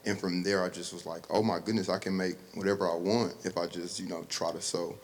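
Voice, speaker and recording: Man from mississippi, very deep voice, laid back, podcast guest. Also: steady tone, brisk, slight background noise. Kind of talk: casual conversation.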